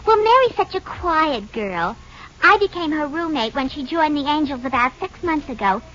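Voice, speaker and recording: An actress playing a chatty, sassy band musician in a 1940s radio drama, with an American accent and affected refinement that slips into slang. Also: female voice, high voice, lively intonation, moderate pace, bright, forceful tone. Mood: eager, concerned. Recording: dull old radio broadcast recording.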